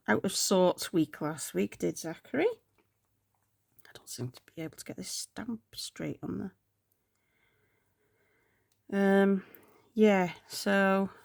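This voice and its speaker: Woman, congested, sore throat, slow, gravelly, chatty planner vlog. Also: fairly low pitch, darkish tone, no room sound, clean studio sound. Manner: chatty, rambling, drifting into murmurs. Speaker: planner vlogger. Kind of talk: casual chat while planning.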